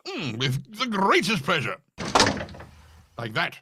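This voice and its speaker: A man playing a hesitant, obliging male detective's sidekick in a live radio drama, halting and theatrical. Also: high-pitched, wildly swooping pitch, heavily acted. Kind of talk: live cold-read recreation of 1940s radio drama. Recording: live stage recording with clear background noise.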